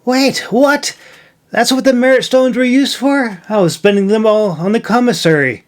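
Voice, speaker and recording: A man voicing a teasing, excitable young male trainee in a fantasy audiobook, cheeky and quick to get defensive. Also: extremely high pitch, pitched above the performer's own voice. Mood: exclaiming.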